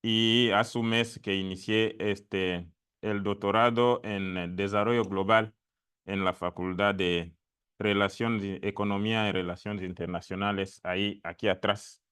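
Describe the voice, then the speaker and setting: Congolese man, doctoral student on a panel, smooth resonant voice, halting Spanish with many fillers. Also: lowish voice, fairly even intonation, a bit bright. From Democratic Republic of the Congo. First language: not Spanish; spoke Italian for years before. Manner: hesitant, many fillers and restarts. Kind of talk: academic panel talk. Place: lecture room at a university event.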